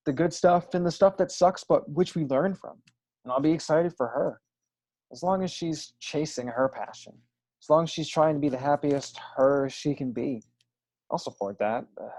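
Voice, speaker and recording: An actor playing an earnest, understated, hopeful inventor in a naturalistic play reading. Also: male voice, mid-range voice, darkish tone, no room sound. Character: earnest, persistent romantic inventor with big hopes. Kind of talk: naturalistic stage drama read over video call.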